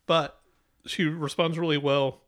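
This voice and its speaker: A man's voice: fairly high pitch, little pitch movement, a little slow, a bit bright. A young man co-hosting a podcast, telling stories in a laid-back, chuckling way. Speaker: podcast co-host. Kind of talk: casual chat.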